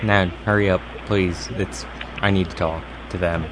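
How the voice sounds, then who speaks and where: A low-pitched American man hosts improvised prank calls. He talks casually and off the cuff, and there is clear noise on the recording. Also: fairly even intonation, normal speed. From United States. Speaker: prank caller, host. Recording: clearly noisy live call recording.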